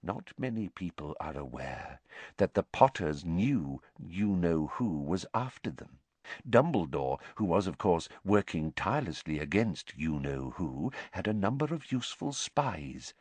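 A man's voice: medium pitch, very animated, normal speed, dry recording, voice pushed up. A man voicing a pompous, blustering middle-aged government minister in a storybook. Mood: confiding.

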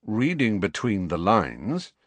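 A man voicing a breezy, wry teenage schoolboy in an audiobook, brisk and drily amused. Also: on the low side, sing-song, dry recording, heavily acted.

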